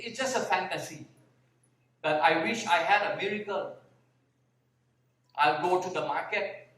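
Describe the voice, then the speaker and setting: A man with a Thai accent giving a slow, deliberate talk on a conference panel, sometimes repeating his words. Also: high-pitched, a bit bright. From Thailand (Bangkok). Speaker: panel speaker, vegan activist. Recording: echoey hall, mic heard from far.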